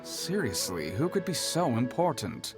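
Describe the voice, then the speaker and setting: A chatty academy clerk. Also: male voice, medium pitch, very animated, a little slow, over music. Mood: businesslike. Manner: businesslike, explaining at length. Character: helpful, talkative male academy clerk.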